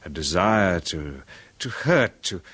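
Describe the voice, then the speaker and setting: A haunted, tormented man in a 1970s radio play. He stammers and breaks into bitter nervous laughs, his low voice rising and falling widely as he drags the words out slowly. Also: very animated, fairly bright tone, husky, exaggerated voice. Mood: haunted confession. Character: tormented middle-aged male musician, haunted and bitter. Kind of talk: 1970s radio drama acting.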